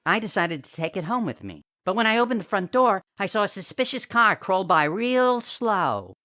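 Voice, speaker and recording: Man playing a lively, wry radio sitcom woman. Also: high voice, normal speed, full character voice. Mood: earnest storytelling. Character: sassy, frightened-sleuth woman gang member in radio sitcom. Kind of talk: 1940s-style radio comedy acting.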